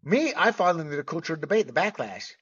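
A middle-aged American man speaks his opinions solo in a fairly high-pitched voice. His delivery is emphatic but halting, with key words drawn out. The recording is somewhat dull and lo-fi. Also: very animated, fairly bright tone, breathy edge. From United States. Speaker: movie commentator. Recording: dull, lo-fi sound.

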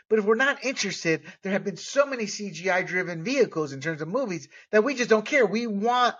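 A middle-aged American man giving opinionated solo commentary, emphatic and halting, stretching out key words. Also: high-pitched, a bit bright. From United States. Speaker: movie commentator. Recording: dull, lo-fi sound.

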